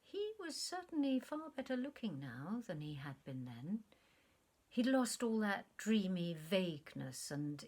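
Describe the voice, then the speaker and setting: A woman actor reading a short story as literary narration, in an expressive, measured storytelling manner. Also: mid-range voice.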